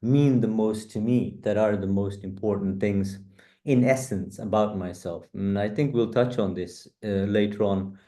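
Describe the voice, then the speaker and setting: A middle-aged man from the UK, answering interview questions in a measured, earnest way with frequent fillers. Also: on the low side, dark tone. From UK, born in East Africa. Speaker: guest, coach.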